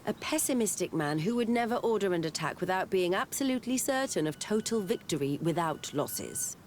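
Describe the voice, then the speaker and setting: An actress playing a composed, persuasive female scholar in a video game cutscene, speaking in a measured, frank, reasoning way. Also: medium pitch, animated, a little mellow, slight background noise, lightly acted. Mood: skeptical.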